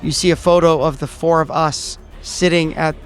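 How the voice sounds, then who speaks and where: A man playing a tabletop game, improvising narration and character lines in a halting, stammering way. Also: fairly high pitch, fairly even intonation, faint music behind. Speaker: player in a tabletop game podcast. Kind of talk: improvised storytelling, acting out a character.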